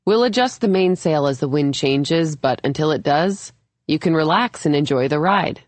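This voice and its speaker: A woman's voice: low-pitched, expressive, normal speed, a little mellow, no room sound. An easygoing man , as voiced by a woman narrator, coaches a beginner at sailing in audiobook dialogue. He is patient, encouraging and lightly teasing, and the sound is dry. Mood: coaching, upbeat.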